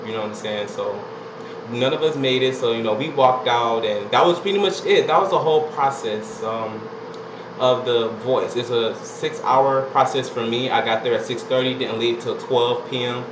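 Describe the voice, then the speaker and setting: A young man tells his story in a casual, rambling vlog style, leaning on "you know" and "like" as he goes. His voice sits at an ordinary pitch for a man. The room is echoey, and a steady air conditioner hum runs clearly underneath. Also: moderate pace. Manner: laid-back, chatty, lots of "you know" and "like". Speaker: vlogger.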